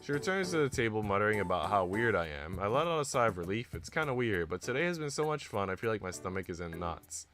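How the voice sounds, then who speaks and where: A man's voice: on the low side, very animated, quick pace, hardly any pauses. A man streaming, reading a visual novel aloud and chatting casually. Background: music.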